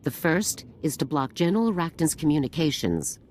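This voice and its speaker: An actor playing an authoritative, composed general who briefs troops in a formal, crisp military way in a video game. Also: male voice, a little high, very animated, moderate pace, slight background noise. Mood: commanding, composed. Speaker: military commander giving briefing. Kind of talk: scripted video game dialogue.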